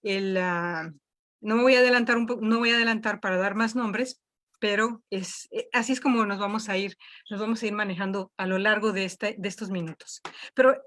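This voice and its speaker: A woman's voice: medium pitch, steady tone, fairly quick, fairly bright tone. A woman leading an online workshop from home, talking with many fillers and restarts. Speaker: workshop instructor.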